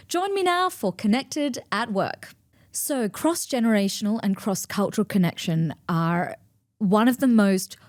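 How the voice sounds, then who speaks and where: A woman in her forties, hosting her own show and explaining ideas to listeners in a lively, expressive way. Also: medium pitch, no room sound, clean studio sound. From grew up between East and West, in Australia. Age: 40s. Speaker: podcast host and speaker. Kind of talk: solo talk to listeners.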